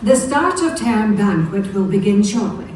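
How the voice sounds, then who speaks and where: A woman's voice: medium pitch, big pitch swings, moderate pace, darkish tone, lightly acted. A woman playing a formal, stern wizard school professor in an audiobook drama. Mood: stately. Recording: echoey distant event playback, music behind.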